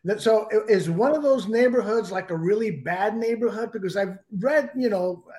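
A man with a high voice hosts a casual podcast chat. He talks loosely, swears freely and laughs easily. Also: moderate pace, a little mellow. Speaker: podcast host.

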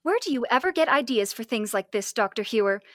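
A woman's voice: on the high side, expressive, a little fast, a bit bright, dry recording, clean studio sound. A young woman amateur playing a curious, admiring scientist's assistant in an old-time radio serial, inquisitive and wide-eyed. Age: young adult.

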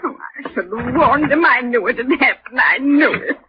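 A woman's voice: sits high, normal speed, close to an everyday voice. A woman playing a distraught, fearful household servant, agitated and near tears, in a 1940s radio drama. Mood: horrified. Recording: noisy muffled vintage radio recording.

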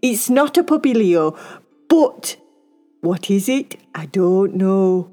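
A woman voicing an excitable, gluttonous male pet dog in a children's bedtime story, talking eagerly and repeating himself. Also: medium pitch, takes it slowly, soft background music. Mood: puzzled, rambling.

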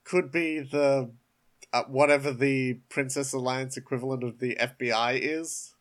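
A man's voice: mid-range voice, steady tone, normal speed. A man playing a tabletop role-playing game, chatting casually and tossing in playful ideas. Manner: playful, jokey. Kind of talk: casual improvised tabletop role-play chat.

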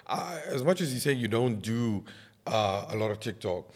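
A man with a South African accent hosting a conversational podcast interview, speaking haltingly and repeating words as he forms questions. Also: on the low side, lively intonation. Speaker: podcast host. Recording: reverberant, hall-like echo.

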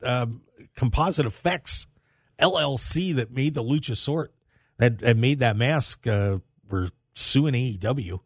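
A man's voice: mid-range voice, measured pace, breathy edge, no echo, studio quality. A man hosting a radio show, talking casually about sports news in a rambling way and repeating phrases for emphasis.

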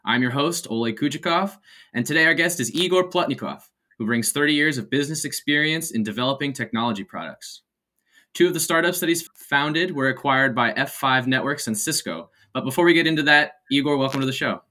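Man hosting a podcast, bright voice. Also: medium pitch, brisk. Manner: friendly, informal questions. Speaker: podcast host. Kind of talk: podcast interview.

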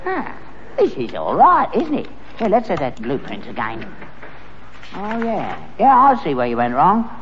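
An actor playing a crotchety old rag-and-bone father, gruff and taunting, in a 1960s radio sitcom. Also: sits high, huge pitch swings, unhurried, exaggerated voice. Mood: smug. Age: older. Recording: dull old radio recording with long reverb and faint hiss.

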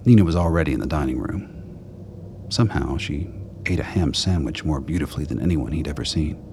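Very deep male narrator. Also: expressive, brisk, noisy background. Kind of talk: scripted story narration for a radio play.

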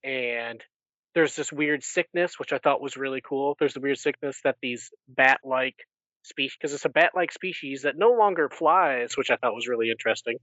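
A man of average pitch talks as a podcast co-host, explaining things casually. The sound is close and dry, with a somewhat dull, lo-fi tone. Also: normal speed, a bit bright, no echo. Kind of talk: casual explanatory book discussion.

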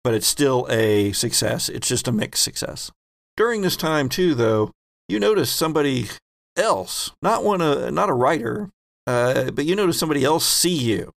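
A man's voice: mid-range voice, animated, moderate pace, creaky, no room sound, studio quality. A man hosting a tabletop game as game master, explaining rules and narrating scenes conversationally with joking asides.

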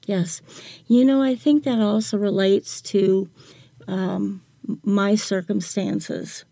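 Slow, creaky-voiced older woman. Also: mid-range voice, fairly even intonation, warm tone, no room sound. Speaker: podcast guest, writer. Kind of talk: reflective interview talk.

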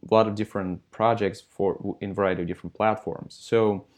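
Deep-voiced man, halting. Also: steady tone. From Russia. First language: Russian. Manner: halting, lots of fillers. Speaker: guest, software company founder. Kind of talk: casual interview answers.

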